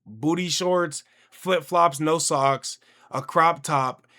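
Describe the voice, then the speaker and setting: Casual young man reacting, animated. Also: a little high, slow pace. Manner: animated, conversational, slangy. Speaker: reaction video host.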